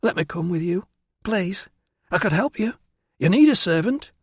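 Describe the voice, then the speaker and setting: Guy doing a silly excitable teen girl for an audiobook, pitched way up, whiny and pushy, dry sound. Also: brisk, dry recording. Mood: pleading. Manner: gushing, whiny, insistent. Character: silly, pleading, excitable teenage British girl. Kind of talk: audiobook character voice.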